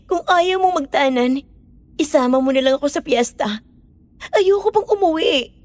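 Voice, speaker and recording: A very high-pitched young woman in love, played by a woman in an audio story drama. She is pleading and stubborn, pressing her boyfriend with an insistent, emotional manner. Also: expressive, moderate pace. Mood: tearful, pleading. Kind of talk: Filipino audio horror-story drama acting.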